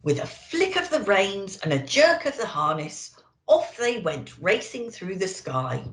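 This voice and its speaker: Slow, animated woman storyteller. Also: mid-range voice. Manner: expressive, addressing young children. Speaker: storyteller in character as an elf. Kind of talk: children's story reading. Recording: roomy echo.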